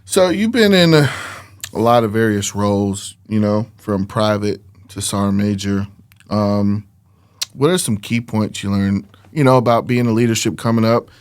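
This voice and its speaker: A man from Florida hosts a podcast in a smooth, clear voice that sits a little low. The sound is dry and close. He asks easygoing questions and drops in short "yeah" and "mm-hmm" replies. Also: moderate pace, no echo. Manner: friendly, short backchannels, "man" endings. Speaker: podcast host. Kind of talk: casual interview chat.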